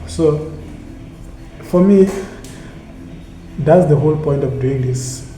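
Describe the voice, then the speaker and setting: Earnest man, flat voice. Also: mid-range voice. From South Africa. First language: Tsonga. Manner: earnest, halting, repeats phrases. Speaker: community initiative founder. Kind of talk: reflective motivational monologue. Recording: echoey room with steady background noise.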